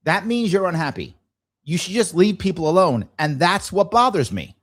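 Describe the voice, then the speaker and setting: A man's voice: sits high, very animated, moderate pace, a bit bright. A man hosting a live talk show, giving blunt, argumentative commentary and repeating phrases emphatically to drive points home.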